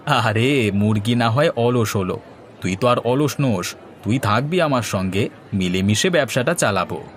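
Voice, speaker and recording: A man's voice: medium pitch, lively intonation, normal speed, a little hiss or hum, natural voice. A man as a confident, scheming cartoon villager. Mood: eager, persuasive. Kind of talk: comic Bengali cartoon dialogue. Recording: music behind the voice.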